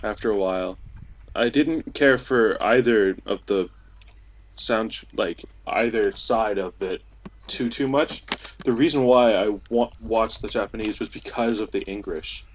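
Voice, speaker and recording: Young male podcast panelist, low voice, casual discussion, slight background noise and clicks. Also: unhurried, lo-fi sound. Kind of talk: casual group discussion of shows. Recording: noisy amateur internet recording with clicks.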